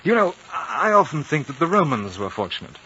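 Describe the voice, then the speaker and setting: An actor playing a refined, thoughtful British gentleman adventurer, measured and polite, in a 1950s radio drama. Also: fairly high pitch, big pitch swings. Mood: polite, wry. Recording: dull, noisy 1950s radio recording.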